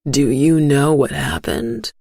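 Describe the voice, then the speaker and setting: A woman voicing a large, serious male sheriff in an audio story, speaking in a measured, grave manner. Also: very deep voice, gravelly, a little mellow, no echo, heavily acted. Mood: weary, probing.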